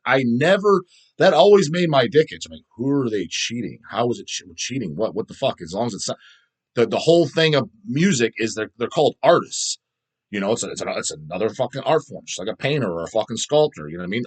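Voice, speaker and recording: A man with a mid-pitched voice talks casually as the host of his own video show. He is blunt, opinionated and heavily profane. His delivery rambles, and he repeats words to drive a point home. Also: husky. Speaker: host of a video show about underground death metal. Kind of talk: casual rambling opinion vlog, answering viewer comments.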